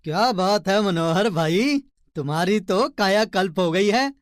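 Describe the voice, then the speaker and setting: An actor playing a polite, needy labourer in a cartoon story, flattering and pleading with his friend. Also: medium pitch, measured pace, dry recording, clean studio sound, natural voice. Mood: admiring. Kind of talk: children's cartoon story dialogue.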